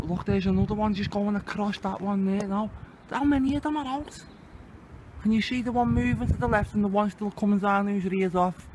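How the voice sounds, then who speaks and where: A man with a Liverpool accent giving indignant, sweary, exclamatory commentary in a casual vlog he films outdoors. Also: high-pitched. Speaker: video channel host. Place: outdoors under open sky. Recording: very noisy outdoor amateur recording.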